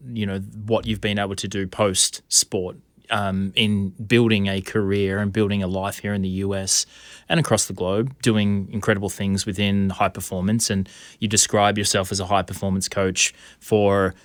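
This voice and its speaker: Man, podcast host, lowish voice, encouraging, dry sound. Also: flat delivery, moderate pace, no echo. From Australia. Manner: encouraging, frequent short agreements. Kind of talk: interview questions.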